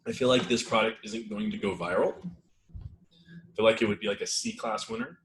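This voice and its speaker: A man with a low, slightly strained voice runs a live webinar in loose, rambling talk full of fillers. He sits at a desk mic in an echoey room with a faint hiss behind him. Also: normal speed, vocal fry. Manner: relaxed, rambling, many fillers and restarts. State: losing his voice, clearing throat. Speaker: webinar host.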